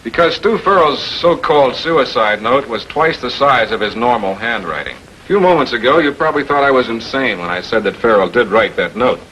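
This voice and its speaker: An American actor playing a shrewd public prosecutor in 1940s television crime drama style, questioning in a deliberate, authoritative way. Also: male voice, mid-range voice, animated, pushed and bright, highs cut off. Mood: confident, accusing. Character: shrewd, composed male public prosecutor confronting murder suspects. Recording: noisy 1940s film soundtrack.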